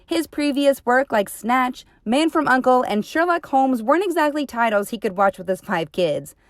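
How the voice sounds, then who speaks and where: Woman, host, scripted narration, upbeat, higher voice, dry recording. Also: animated, normal speed, no room sound.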